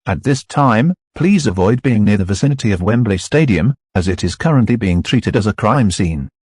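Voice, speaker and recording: A man reading formal, scripted broadcast announcements in a steady tone. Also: on the low side, normal speed, husky, no room sound, studio quality.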